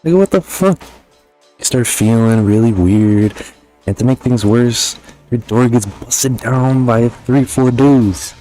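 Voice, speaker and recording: A man's voice: mid-range voice, takes it slowly, warm tone, soft background music. A man giving a casual, conversational video game review voice-over in slangy, sometimes profane language.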